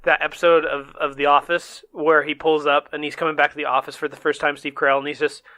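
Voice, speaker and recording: A man's voice: fairly high pitch, little pitch movement, fast, pushed and bright. A man hosting a casual gaming podcast, joking around and laughing often, with lots of fillers. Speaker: podcast host.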